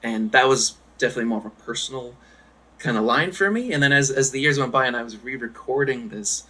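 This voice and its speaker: A man from the area, singing his own song over guitar and then chatting softly. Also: medium pitch, quick pace. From Boston area. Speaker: singer-songwriter guest. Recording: loud guitar music behind the singing.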